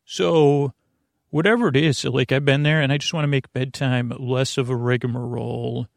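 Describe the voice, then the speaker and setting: A man hosting a bedtime podcast in a halting, meandering monologue that circles back on itself, with a gravelly voice. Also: mid-range voice, steady tone, no echo, clean studio sound. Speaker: podcast host.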